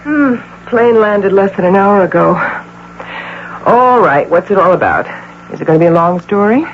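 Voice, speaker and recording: Casting: woman to play brisk, sharp-tongued female agency boss, middle-aged, theatrical radio drama delivery, muffled noisy broadcast sound. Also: medium pitch, lively intonation, natural voice. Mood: brisk, commanding. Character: high-powered, sharp-tongued middle-aged agency president and doting mother.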